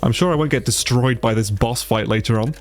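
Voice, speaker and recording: A man's voice: mid-range voice, a little fast. A man with a stuffy, congested nose giving casual, sweary let's play commentary and sounding easily amazed. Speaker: gaming YouTuber, player.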